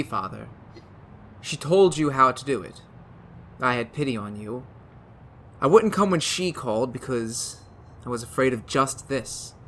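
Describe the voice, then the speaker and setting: A man acting an undead son who has come back as a wolf, speaking quietly and ominously in a radio drama. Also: medium pitch, a little hiss or hum. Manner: quiet, soft, ominous and regretful. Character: undead young man returned as wolf, eerie calm.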